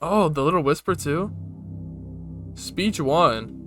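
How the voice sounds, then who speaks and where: A man's voice: a little high, lively intonation, slow, a bit bright, faint music behind. A man hosting a reaction video, giving casual, slangy commentary. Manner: slangy, informal.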